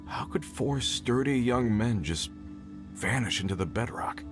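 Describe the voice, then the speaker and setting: A man's voice: medium pitch, animated, unhurried, soft background music, close to an everyday voice. An actor playing a weary, worried older mining foreman in a video game, grave and plain-spoken. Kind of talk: video game character dialogue.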